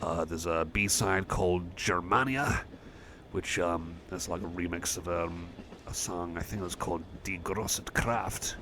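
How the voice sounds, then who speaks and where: A man playing a shy, friendly cyborg music fan, chatting softly and hesitantly in a casual ASMR roleplay. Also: deep voice, a little slow, noisy background. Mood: shy, enthusiastic. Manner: hesitant, rambling, self-effacing.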